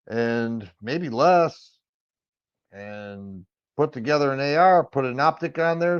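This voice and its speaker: A middle-aged man from Michigan with a raspy voice, hosting a casual firearms livestream and chatting with viewers, rambling and restarting his sentences often. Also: on the high side. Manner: rambling, with frequent stammered restarts. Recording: dull lo-fi stream audio.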